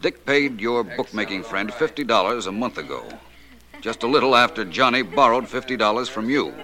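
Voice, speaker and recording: An actor playing a shrewd, unflappable detective with polished, wry phrasing in 1940s radio drama style. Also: male voice, medium pitch, expressive, normal speed, sharp tone. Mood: smooth menace. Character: suave, shrewd, unflappable male private detective.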